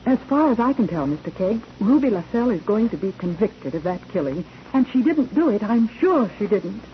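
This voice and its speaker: A woman's voice: mid-range voice, big pitch swings, brisk, lightly acted. An actress playing an earnest, well-bred officer's wife in a 1940s radio drama, formal and emphatic as she pleads. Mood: urgent pleading. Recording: muffled, faintly noisy old radio recording.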